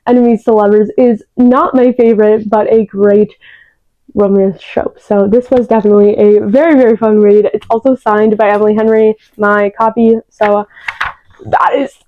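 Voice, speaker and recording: A woman's voice: on the high side, no room sound, phone-quality sound. A young woman reviewing books in a casual, gushing vlog, often repeating words for emphasis, talking into a new microphone. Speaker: book vlogger. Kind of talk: casual chatty book review. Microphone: new external microphone.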